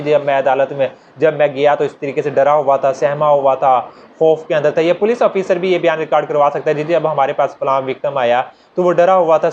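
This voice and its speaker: Higher-voiced Pakistani man lecturing, dull noisy recording. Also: a little mellow. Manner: conversational, acting out hypothetical examples, repeating points. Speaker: law lecturer. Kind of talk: informal explanatory law lecture. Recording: dull lo-fi audio with noticeable noise.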